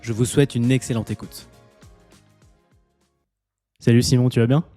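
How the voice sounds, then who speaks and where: A man's voice: mid-range voice, fairly even intonation, normal speed, mellow, faint music behind. A man hosting a podcast interview, talking with many hesitations and restarts. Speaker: podcast host. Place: home near the mountains.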